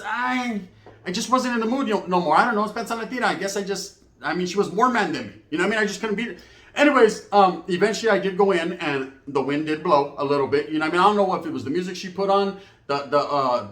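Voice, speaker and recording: American man, higher-pitched voice, slangy comedic storyteller, animated delivery, acts out dialogue, roomy echo. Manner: animated raconteur, acts out both sides of conversations.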